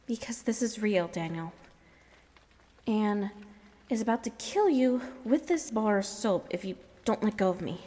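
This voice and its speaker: An actress playing a firm, probing woman who confronts a troubled man in an audio drama, earnest and deliberate. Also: medium pitch, lively intonation, dark tone, close to an everyday voice. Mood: confrontational. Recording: long echoing reverb.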